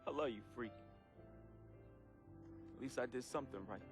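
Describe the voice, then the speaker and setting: An actor playing a remorseful, devoted young man who confesses and says farewell in a dramatic video game monologue, with slangy phrasing and deliberate pauses. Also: mid-range voice, lightly acted. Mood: resigned, loving. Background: music.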